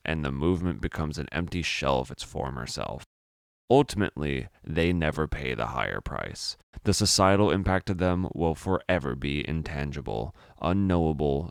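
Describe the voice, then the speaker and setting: Very deep-voiced man narrating fiction. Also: gravelly, no echo, clean studio sound. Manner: brooding, theatrical delivery with rhetorical questions. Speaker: storyteller. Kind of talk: dramatic first-person fiction narration.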